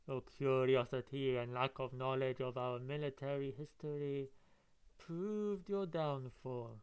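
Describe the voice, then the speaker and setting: A man voicing a cold, gloating admiral from a comic book, lecturing with slow menace. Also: mid-range voice, a little mellow, clean tone, close to an everyday voice, low-quality recording. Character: cold, gloating male military admiral villain.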